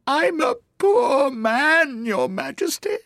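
A man's voice: very high voice, sing-song, a little slow, fairly bright tone, dry recording, studio quality, pitched above the performer's own voice, full character voice. A man voicing a nervous, muddled hatter in a storybook, pleading in a hesitant, trembling way. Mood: pleading, anxious.

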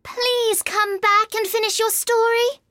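A woman's voice: very high-pitched, unhurried, fairly bright tone, dry recording, clean studio sound, voice pushed up. A woman voicing a polite, earnest young girl in a storybook reading. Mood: pleading.

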